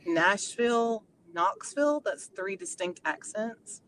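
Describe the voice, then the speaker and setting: A woman's voice: medium pitch, slow pace, a little hiss or hum, low-quality recording. Chatty Tennessee-accented woman. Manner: chatty, animated storyteller. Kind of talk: casual chat.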